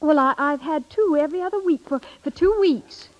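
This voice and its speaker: A woman's voice: high-pitched, a little fast, lightly acted. An actress playing an earnest, affectionate young woman in a 1930s radio drama, speaking hesitantly and often repeating words. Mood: flustered. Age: young adult. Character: earnest, affectionate young woman, sweetheart turned budget-minded wife. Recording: dull 1930s radio broadcast recording.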